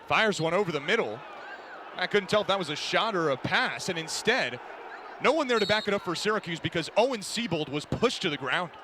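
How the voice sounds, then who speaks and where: A man giving an energetic, descriptive live radio play-by-play commentary of a lacrosse game. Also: high voice, a little fast. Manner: energetic, descriptive running call of the action. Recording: noisy live broadcast, game crowd behind. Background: crowd and ambient game noise.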